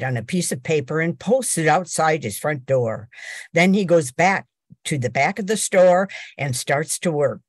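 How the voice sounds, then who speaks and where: An older woman narrates a radio play as announcer. Her voice is low with lively intonation, reading from a script in a dry, close sound. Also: no echo.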